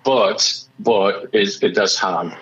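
A man with a slightly raspy voice, a musician chatting excitedly in an interview and often restarting his sentences. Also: lowish voice, slow pace, lo-fi sound. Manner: excitable, rambling with many restarts. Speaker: musician guest. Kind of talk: casual interview chat.

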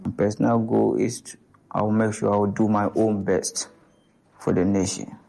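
A woman footballer giving earnest, thankful interview answers in a gravelly voice. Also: very deep voice, level tone, normal speed, dark tone, rough recording. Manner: earnest, thankful, repetitive phrasing. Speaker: national team footballer.

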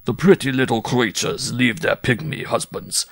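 Man acting a slow, boastful giant. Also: mid-range voice, creaky, dry recording, voice pushed up. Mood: drowsy boasting. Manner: slow, drowsy, self-satisfied. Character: huge, boastful, sleepy circus giant, vain ladies' man. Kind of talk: theatrical audiobook character voice.

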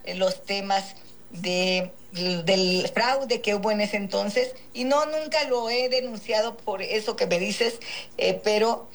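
A woman from Mexico, a politician being interviewed live, talking in a halting way with many fillers. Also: mid-range voice, fairly even intonation, slight background noise. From Mexico, Guerrero.

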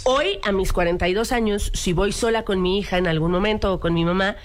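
A woman's voice: medium pitch, fairly quick. Reflective woman host in her forties. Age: 40s. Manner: reflective, hesitant, many fillers. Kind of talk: radio talk show hosting.

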